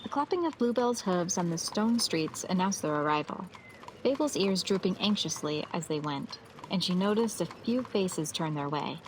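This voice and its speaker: A woman's voice: fairly low pitch, expressive, normal speed, slight background noise, poor audio. A woman narrating a scripted fantasy story as the storyteller of an audio drama.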